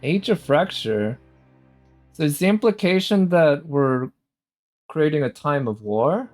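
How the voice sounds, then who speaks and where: A man giving casual, unhurried live commentary as a game streamer, musing aloud as he goes. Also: on the high side, animated. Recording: game music behind the voice. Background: game music.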